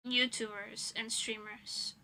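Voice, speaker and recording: A woman's voice: a little high, little pitch movement, takes it slowly, vocal fry, bright, forceful tone, rough recording. A young woman streaming as a VTuber, chatting casually with her viewers in a rambling, giggly way.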